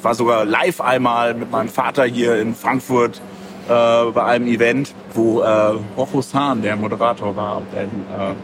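A man's voice: medium pitch, level tone, normal speed. Man rambling casually over noisy street-like background. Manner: rambling, thinking aloud in loose chains of clauses. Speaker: comic creator being interviewed. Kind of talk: casual interview talk.

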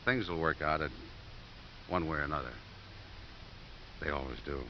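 A man's voice: a bass voice, normal speed. A man playing a world-weary, stubborn football coach and father, casually wisecracking in a radio drama. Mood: subdued, firm. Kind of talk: 1950s radio acting. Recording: hissy, dull 1950s radio recording.

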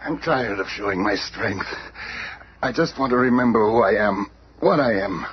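A man's voice: on the low side, lively intonation, normal speed, natural voice. An actor playing a weary, irritable old vagrant who has lost his memory, grumbling and brooding in a radio drama. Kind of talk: superhero radio drama acting. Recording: noisy, muffled old radio recording.

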